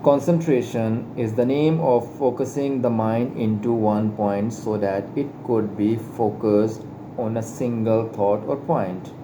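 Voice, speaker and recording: A man whose first language is not English, carefully reading aloud from a spiritual book, stumbling and repeating words now and then. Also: mid-range voice. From Pakistan. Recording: steady air conditioner hum behind. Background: air conditioning hum.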